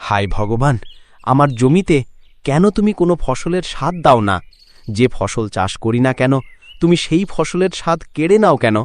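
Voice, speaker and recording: A man plays a poor, troubled farmer in a village cartoon. His delivery is dramatic, with swinging pitch and a dry, close sound. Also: lively intonation, normal speed, a little mellow, no echo, close to an everyday voice. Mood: despairing. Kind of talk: Bengali cartoon voice acting.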